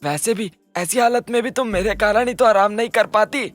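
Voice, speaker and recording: A woman voicing a gentle, ageing village husband in a cartoon, with a mild and homely manner. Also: medium pitch, fairly quick, dry recording, soft background music, lightly acted. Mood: tender. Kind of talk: cartoon dialogue.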